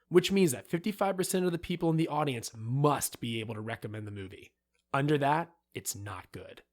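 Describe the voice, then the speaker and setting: A man voicing an animated film director who recalls events with emphatic, dramatic pauses, as in an interview. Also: on the high side. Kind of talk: acted reading of interview quotes.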